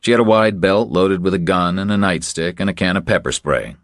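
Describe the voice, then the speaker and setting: A man's voice: sits low, brisk, no room sound. A man reading scripted crime fiction as an audiobook narrator, close on the microphone.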